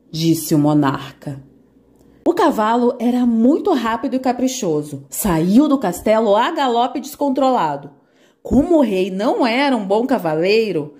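A woman narrating a children's fairy tale in an expressive, animated way. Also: on the high side. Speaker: storyteller.

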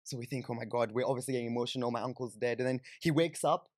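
A man's voice: mid-range voice, rapid-fire, no room sound. Young Kenyan man, dry close mic. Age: young adult. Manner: informal, frequent "like" and "you know". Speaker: podcast guest. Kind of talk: conversational personal storytelling. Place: small indoor podcast studio, on a sofa. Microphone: close studio microphone on boom arm.

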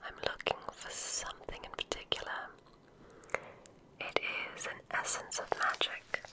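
A woman whispering an ASMR roleplay as a kindly witch examiner, giving soothing, deliberate instructions in a breathy voice.

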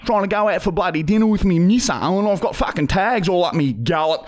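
A man's voice: high-pitched, fairly quick, a crowd in the background, close to an everyday voice. A man in his thirties with an Australian accent playing an aggrieved, dim-witted bogan customer on a prank call, rambling and repeating himself in slang. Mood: indignant, sweary. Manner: repetitive, rambling, slangy, sweary. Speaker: podcast host making a prank call. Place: podcast studio. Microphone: close boom-arm podcast microphone.

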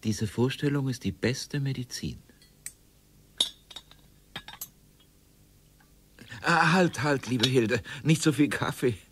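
A man's voice: medium pitch, moderate pace, a bit bright, a little hiss or hum, natural voice. An actor playing a cheerful, chatty middle-aged mailman who chuckles as he talks in a radio play. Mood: jovial. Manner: chuckling, jovial, laughs often. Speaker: mail carrier friend named Horst.

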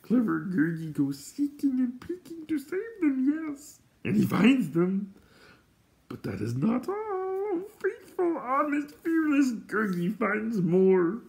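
A little storybook creature, eager and fawning. The voice leaps up high and swings about wildly. It praises itself in the third person with childlike, rhyming glee. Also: male voice, wildly swooping pitch, takes it slowly, vocal fry, a little mellow, heavily acted, poor audio. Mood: gleeful, boastful. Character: small excitable male creature, boastful, fawning, childlike. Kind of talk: audiobook character voice.

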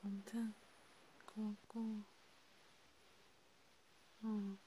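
Over clearly audible ambient noise, a woman whispers a soft ASMR roleplay. Her delivery is slow and gentle, with little movement in pitch. She leaves pauses and murmurs small acknowledgements to a single listener. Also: poor audio. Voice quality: whispery, breathy soft speech. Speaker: ASMR creator, host. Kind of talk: ASMR hand-massage roleplay, soft one-sided chat. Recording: clear steady background hiss, ambient noise.

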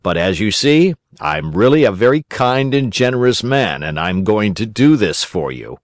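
A man's voice: on the low side, big pitch swings, moderate pace, no echo, studio quality. A man voicing a grown farmer who talks in a hearty, self-satisfied, kindly way in a storybook reading. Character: grown male farmer, gruff but pleased and kindly.

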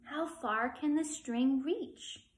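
A woman's voice: high voice, takes it slowly, pitched above the performer's own voice. A woman voicing anxious, curious young children in a storybook, eagerly asking questions. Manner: eager, questioning, wide-eyed. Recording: echoey room.

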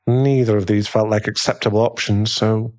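A man with a British accent playing a tender, protective aristocratic werewolf fiancé in a soothing ASMR boyfriend roleplay, his voice husky and genteel. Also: fairly low pitch, fairly even intonation, moderate pace, a little mellow, lightly acted.

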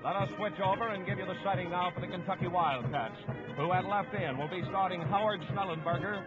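A man announcing football lineups on 1950s radio in a measured, formal delivery. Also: high-pitched, over loud music. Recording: dull, lo-fi 1950s radio broadcast.

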